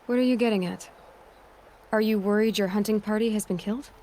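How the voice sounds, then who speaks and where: A woman's voice: mid-range voice, steady tone, a little fast, dark tone, close to an everyday voice. Woman acting a blunt young huntress, faint noise behind. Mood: guarded, direct. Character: young woman hunter, guarded, blunt, determined outcast seeker. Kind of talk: naturalistic video game dialogue acting.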